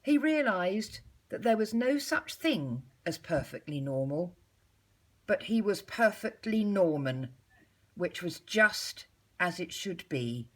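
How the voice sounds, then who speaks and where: A woman reading a storybook aloud to young children like a schoolteacher, in an expressive, measured way with deliberate pauses. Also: lowish voice, slight background noise.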